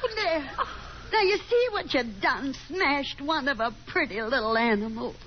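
A woman's voice: sits high, lively intonation, normal speed. An actress playing a proud, nagging middle-aged mother, fussy and dramatic, in 1950s radio drama style. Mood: outraged, wounded. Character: proud, nagging, easily wounded Southern mother. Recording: dull, noisy 1950s radio recording.

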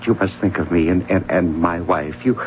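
An American actor, a man, playing an anxious, placating middle-aged husband in a 1970s radio drama, halting and apologetic. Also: sits low, lightly acted. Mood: apologetic, uneasy. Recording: dull, noisy old radio broadcast.

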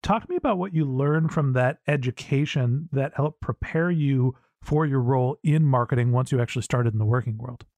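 A man's voice: mid-range voice, a little fast, hardly any pauses, a little mellow, dry recording. A man hosting a podcast who reads an upbeat intro and then asks friendly questions. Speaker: podcast host.

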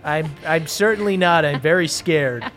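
A man's voice: a little high, moderate pace, over music, natural voice. Man playing a cowardly, wimpy old halfling. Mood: fearful, whiny. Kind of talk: deliberately hammy radio-play reading.

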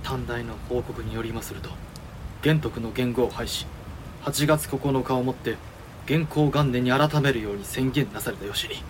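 An actor playing a cautious, deferential samurai-era regent in a period audio drama, speaking formally and respectfully. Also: male voice, mid-range voice, normal speed, background noise, natural voice. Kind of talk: period radio drama acting in archaic speech.

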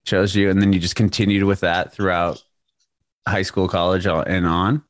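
Brief: talkative male interviewer, laughs a lot, lowish voice, dry video call. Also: level tone, normal speed, no echo. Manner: chatty, laughs often, friendly filler words.

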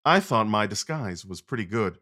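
An actor playing a confident, commanding gentleman detective, wry and precise, in an audio drama. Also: lowish voice, expressive, moderate pace. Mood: pleased, wry.

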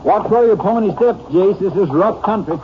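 A man's voice: sits high, animated, moderate pace, dull audio. A man playing an eager, folksy western sheriff in an old-time radio crime drama, blunt and full of questions, on a noisy old recording. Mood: watchful. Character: plain-spoken, eager male western sheriff.

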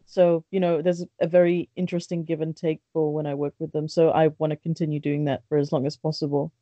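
A woman's voice: low voice, little pitch movement, fast talker, warm tone, no echo. A woman, a guest talking candidly and chattily about her life, with lots of restarts and fillers. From born in Bangladesh, raised in London. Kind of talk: casual podcast interview. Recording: dry, dull lo-fi sound.

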